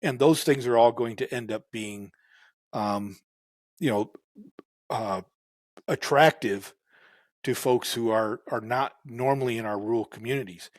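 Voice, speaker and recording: A man who farms, talking in a relaxed way as a podcast guest, with many fillers and restarts. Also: medium pitch, measured pace, long pauses, no echo. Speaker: farmer guest. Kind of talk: casual interview talk.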